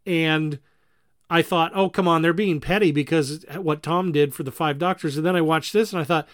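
A man with a somewhat high-pitched voice chats as a podcast co-host. He reviews conversationally and slips into imagined quotes to make points. The recording is dry and free of room echo. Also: steady tone, moderate pace. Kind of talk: casual discussion and review.

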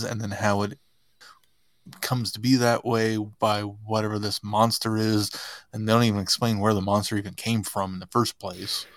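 Man, fairly low pitch, dry recording, casual critic on a podcast, explaining his points in a measured way. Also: a bit bright, dry recording. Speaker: podcast panelist reviewing fan productions.

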